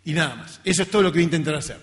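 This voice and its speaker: A man's voice: on the high side, racing. A middle-aged man with a Latin American accent giving an informal academic talk in a conversational, self-deprecating way. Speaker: research scientist giving a lecture. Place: lecture hall. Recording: reverberant hall.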